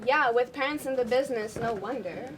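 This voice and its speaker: A woman's voice: fairly high pitch, a little fast, noisy background, natural voice. A young woman student acting in an amateur stage play as a discouraged, defiant drama student. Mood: light, sarcastic. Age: teenager or young adult student performer.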